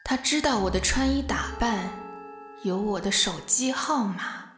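An actress as a wary young office woman telling her story in an audio thriller. Her medium-pitched narration is earnest and uneasy. Echo surrounds her and music plays faintly behind. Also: unhurried, fairly bright tone, natural voice. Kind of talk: dramatic first-person narration, suspense audio drama.

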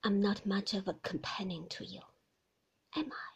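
A woman doing a brooding older gentleman, hushed. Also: mid-range voice, fairly even intonation, vocal fry, slightly rough, highs cut off. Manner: slow, hushed, half-whispered.

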